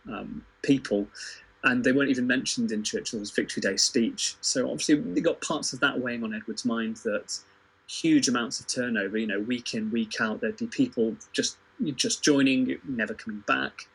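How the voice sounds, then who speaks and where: A man discussing history informally, thinking aloud haltingly and repeating words as he goes. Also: mid-range voice, creaky, fairly bright tone, breathy edge, low-quality recording. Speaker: podcast panellist.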